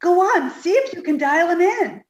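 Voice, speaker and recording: Lady doing a dim sweet young woman, very high voice, old radio comedy style, echoey room. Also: lively intonation, moderate pace, highs cut off. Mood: innocent, eager. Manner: innocent, earnest, scatterbrained. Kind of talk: 1950s radio comedy acting.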